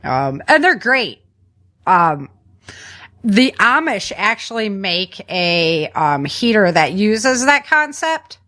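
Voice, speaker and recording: A woman's voice: mid-range voice, very animated, slow pace, pushed and bright. A woman hosting a podcast in casual, chatty conversation from a family room at home, using informal, slangy language. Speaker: podcast host.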